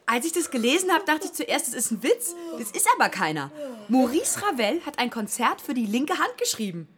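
An actress playing a curious, direct, excitable woman in a hospital waiting room in a radio play. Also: high-pitched, brisk. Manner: inquisitive, direct, eager. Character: curious, forthright, excitable woman chatting up a fellow patient. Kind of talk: naturalistic radio play dialogue.

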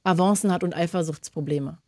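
A woman's voice: lowish voice, fairly even intonation, no room sound, studio quality. A woman hosting a radio call-in show, chatting casually and giving callers advice. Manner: chatty, warm-hearted advice, fast talking. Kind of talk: casual radio phone-in chat.

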